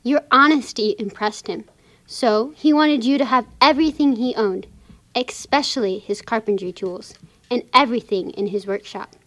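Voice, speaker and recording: A woman playing a kind, professional lawyer in a children's puppet show, explaining things gently to a child. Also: fairly high pitch, normal speed, natural voice. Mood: kindly, explanatory.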